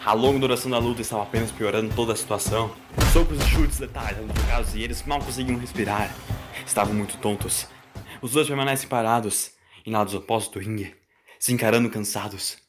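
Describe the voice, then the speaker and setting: A man's voice: on the low side, fast. A man with a Brazilian accent, narrating a scripted story in a dramatic style. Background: music.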